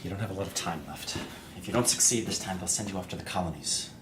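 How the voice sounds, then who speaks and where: Actor as a hushed, insinuating doctor, noisy background. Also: male voice, low voice, quick pace, natural voice. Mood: furtive, coaxing. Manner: hushed, coaxing, clinical. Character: furtive, insinuating doctor offering illicit help. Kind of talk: understated audio drama acting.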